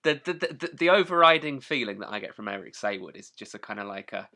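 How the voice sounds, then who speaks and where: Animated, bright-voiced man chatting. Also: lowish voice, fast talker. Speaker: podcast co-host. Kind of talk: casual chat.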